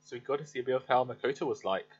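A man giving casual gameplay commentary, thinking aloud in a halting way with a husky voice. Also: medium pitch. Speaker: gaming video maker. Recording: dull, lo-fi mic.

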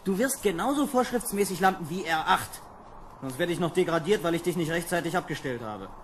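Actor as bossy space officer, fairly high male voice, sardonic, old radio drama, slight noise. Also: expressive, brisk, natural voice. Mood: irritated, sardonic. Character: exasperated young space lieutenant commanding a landing boat. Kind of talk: 1970s children's radio play acting.

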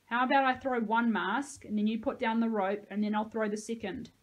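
A woman voicing an anxious young storyteller in a novel, shouting and bargaining urgently. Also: fairly high pitch, steady tone, fairly quick, lightly acted, rough recording.

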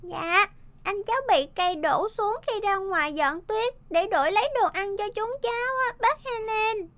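A woman's voice: very high-pitched, normal speed, fairly bright tone. A woman voicing a plaintive, devoted little girl, a younger sister, in a cartoon dub. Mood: earnest, plaintive. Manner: childlike, plaintive. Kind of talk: dubbed cartoon dialogue. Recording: music behind the voice.

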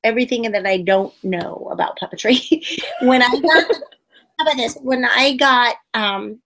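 A woman in her forties, a puppeteer, telling stories in a casual interview over a webcam video call from a room at home, acting out quotes with sudden high squeals. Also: moderate pace, a bit bright, rough recording. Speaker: puppeteer guest.

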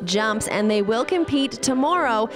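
A woman giving scripted sports highlights commentary as a voice-over. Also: animated, a little slow, music in the background. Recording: clear ambient event noise behind voice.

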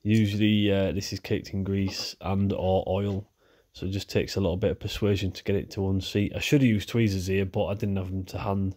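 A man's voice: deep voice, fairly quick, hardly any pauses. A man with a stuffy, nasal voice from a cold, chatting casually as he walks through a repair. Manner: chatty, relaxed, talks while working with hands. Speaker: repair video host.

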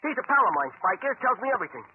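A talkative young newsboy in a 1930s radio drama. The delivery is quick, slangy and confiding, pitched high. The recording is dull with faint noise. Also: male voice, very animated. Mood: chatty, eager. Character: chatty streetwise young newsboy, eager gossip.

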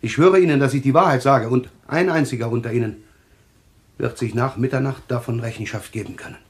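A man's voice: medium pitch, brisk, lightly acted. An actor playing a desperate, agitated man who calls himself a prophet, pleading insistently in a German thriller radio play. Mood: grave warning.

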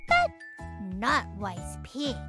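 A childlike voice telling a Bible lesson to young listeners in simple, expressive scripted speech, as the host of a children's show. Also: mid-range voice, extremely slow, music in the background. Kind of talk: animated scripted storytelling and teaching for children.

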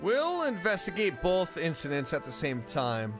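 Male game streamer, high voice, animated swoops, casual enthusiastic reactions, game music behind. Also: normal speed. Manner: expressive, enthusiastic. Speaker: gameplay streamer.

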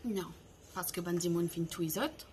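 A woman's voice: fairly low pitch, a little mellow, a little hiss or hum. A woman in her forties, the founder of an eco-products platform, speaking to camera on a garden veranda. She talks in a language that is not her mother tongue and searches for words. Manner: slow and deliberate, with pauses between short phrases. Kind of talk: prepared short address to camera. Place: covered veranda outdoors, garden greenery behind.

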